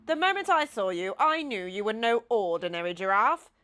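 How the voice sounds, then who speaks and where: A woman acts out a grand, generous Duke from a children's tale. The voice is bright and swoops widely. He declaims pompously, sure that he knows everything. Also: medium pitch, big pitch swings, normal speed, pitched above the performer's own voice. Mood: knowing, impatient. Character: grand, emphatic, know-it-all, generous old-fashioned male Duke.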